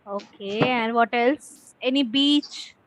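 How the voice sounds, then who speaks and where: An Indian-accented woman teaches spoken English through casual conversation. Her voice is high and the sound is dry, with little room on it. She asks simple questions in an encouraging way. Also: takes it slowly, no echo.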